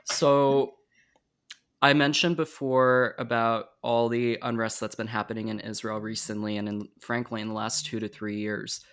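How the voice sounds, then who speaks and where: A man's voice: medium pitch, little pitch movement, normal speed, fairly bright tone, clean tone. A young man interviewing a guest on a podcast, asking earnest, thoughtful questions in a casual tone. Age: young adult.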